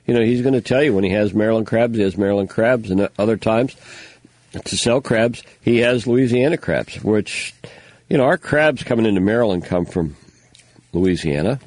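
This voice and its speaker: A man's voice: on the low side, moderate pace, darkish tone, no echo. An older man hosting a local radio show, talking casually in a folksy, rambling way with lots of fillers, sounding stuffy. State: stuffy nose. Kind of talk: casual on-air talk, reading news items.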